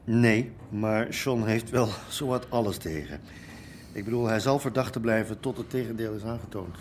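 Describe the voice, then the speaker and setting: Radio drama: man playing businesslike legal adviser to the underworld, measured, on the low side. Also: slight background noise, natural voice. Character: measured, sober lawyer advising a gangster client.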